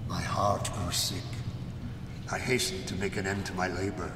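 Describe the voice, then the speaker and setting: An actor playing a vengeful, haunted older man who recounts his crime in a slow, deliberate, theatrical gothic radio drama style. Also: sits low, level tone. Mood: haunted, remorseful. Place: live stage before an audience in a large hall. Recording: echoey noisy hall, far from mic.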